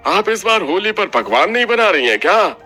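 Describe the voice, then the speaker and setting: A man's voice: high voice, very animated, normal speed, bright, forceful tone, soft background music, exaggerated voice. A man playing a chatty, nosy neighbourhood grocer in a cartoon story. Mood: nosy, brisk. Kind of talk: Hindi cartoon story voice acting.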